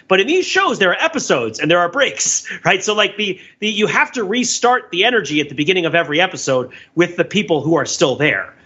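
Animated, bright-voiced, husky man. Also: a little high, dull audio. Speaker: podcast panelist. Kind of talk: casual discussion.

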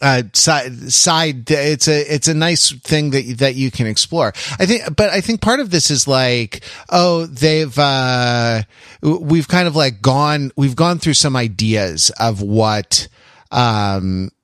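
A man co-hosting a podcast, talking casually and analytically, often halting and restarting his phrases. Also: medium pitch, fairly bright tone, no room sound, clean studio sound. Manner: halting, self-correcting, repeats phrases. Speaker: podcast co-host. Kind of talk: casual analytical pop-culture discussion.

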